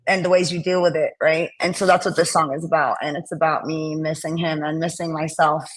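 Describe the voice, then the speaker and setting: A young adult woman with a raspy voice, a musician guest talking earnestly and rambling in a casual interview over a laptop call. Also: deep voice, flat delivery, a little fast, low-quality recording. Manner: earnest, rambling with many fillers. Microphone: laptop on a video call.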